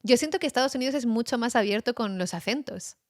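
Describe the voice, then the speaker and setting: A woman's voice: a little high, expressive, fast, dry recording, studio quality. A woman guest from Spain chatting casually and animatedly, laughing often and trying out other accents. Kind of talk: casual conversation.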